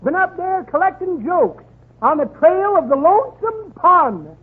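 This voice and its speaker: A folksy, chortling old-timer with a very high voice that swings up and down. He draws his jokes out slowly in 1930s radio comedy style, on a tinny, noisy old broadcast recording. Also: very animated, heavily acted. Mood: chortling, gleeful. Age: older. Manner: chortling, drawn-out joke delivery.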